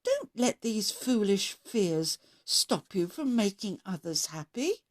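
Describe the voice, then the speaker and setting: A woman voicing a gentle, encouraging male fox in a children's story, with earnest, emphatic praise. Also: medium pitch, very animated, slow pace. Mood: kind, encouraging.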